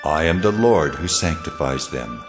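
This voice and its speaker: An actor playing the Lord in a dramatized scripture reading, grave and commanding. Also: male voice, a bass voice, lively intonation, a little slow, long reverb tail, soft background music. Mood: solemn. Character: the Lord, solemn divine male voice giving commands.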